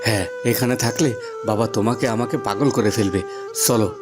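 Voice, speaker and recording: A man's voice: fairly low pitch, moderate pace, music in the background, close to an everyday voice. Man acting an impassioned young village lover. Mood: urgent, pleading. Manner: impassioned, earnest. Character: earnest young lover, village headman's son. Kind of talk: cartoon voice acting.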